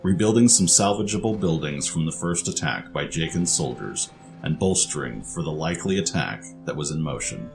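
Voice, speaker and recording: A man's voice: very deep voice, lively intonation, normal speed, gravelly, music in the background. A man narrating a scripted story for an audio drama.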